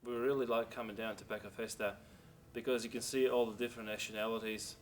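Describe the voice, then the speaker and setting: Man, low flat voice, rambling, far from mic, faint music. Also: fast talker. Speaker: festival visitor, parent. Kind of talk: casual interview. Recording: distant from mic, music behind.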